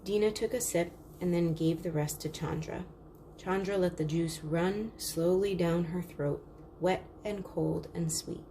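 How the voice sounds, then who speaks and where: A woman narrates a children's storybook. Her voice sits a little low for a woman, and the delivery is scripted read-aloud storytelling. Light noise is audible behind her. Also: fairly even intonation, a little slow, mellow, poor audio.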